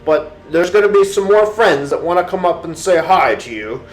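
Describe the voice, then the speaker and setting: Storyteller acting storybook alligator, hearty, reassuring, raised lively voice, echoey room, faint music. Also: medium pitch, normal speed, voice pushed up, natural voice. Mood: helpful. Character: friendly helpful alligator from the swamp, gentle and reassuring.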